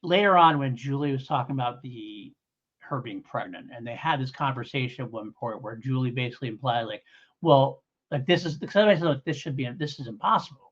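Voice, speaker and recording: Male review co-host: conversational, meandering explainer, agreeable, average pitch, somewhat muffled recording. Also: brisk, a bit bright, breathy edge. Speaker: podcast co-host. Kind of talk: casual audio drama review.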